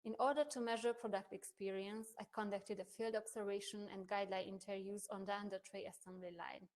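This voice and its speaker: A young woman whose first language is Hungarian, a research associate presenting a prerecorded conference paper in a measured, careful academic manner. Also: mid-range voice, level tone, a little mellow. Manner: measured, careful academic delivery with occasional restarts.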